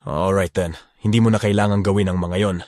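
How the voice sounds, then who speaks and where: A man voicing a young male bodyguard who speaks quietly and with resignation. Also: deep voice, fairly even intonation, fairly quick, dry recording, clean studio sound, lightly acted. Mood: resigned. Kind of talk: Tagalog audio drama acting.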